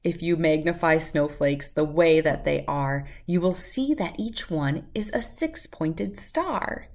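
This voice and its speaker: A middle-aged woman reads a rhyming children's picture book aloud in a measured, sing-song way. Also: low voice, animated, darkish tone.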